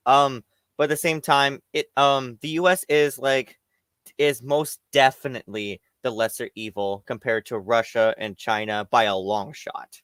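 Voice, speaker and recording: A man's voice: mid-range voice, fairly bright tone, clear, resonant tone, dry recording. A man co-hosting a political stream, talking casually with lots of hesitations. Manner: casual, full of "um" and "like". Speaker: stream co-host. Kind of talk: political commentary chat.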